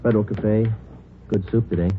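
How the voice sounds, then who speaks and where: An actor playing a laconic, matter-of-fact police sergeant in an old radio drama, clipped and deadpan. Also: male voice, lowish voice, brisk, natural voice. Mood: flat, businesslike. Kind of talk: 1940s-50s radio drama, narration and dialogue. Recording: muffled noisy old radio recording.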